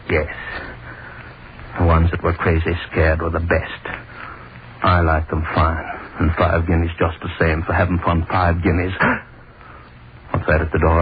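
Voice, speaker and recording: An actor playing an obsessive, cruel hangman who gloats and broods over his work, in a 1930s radio drama monologue. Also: deep voice. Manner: gloating, brooding, lingers on words. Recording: scratchy tinny 1930s radio broadcast.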